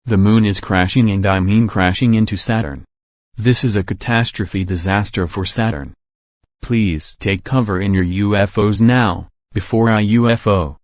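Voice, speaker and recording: A man's voice: fairly low pitch, moderate pace, no echo, clean studio sound. A man reading a scripted mock civil alert as a formal emergency announcer.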